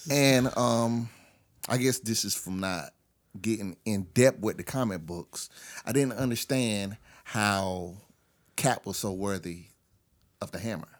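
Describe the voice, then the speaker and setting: Male panelist, slightly low voice, informal and emphatic, short phrases in relaxed film review conversation. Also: a little slow. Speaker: podcast panelist. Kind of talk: casual film review discussion.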